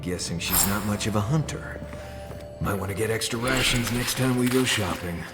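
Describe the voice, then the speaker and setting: An actor playing an easygoing, wry adventuring companion in a video game, dry and casual. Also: male voice, lowish voice, moderate pace, natural voice. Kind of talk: video game cutscene dialogue. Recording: long echoing reverb, music behind. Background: game music.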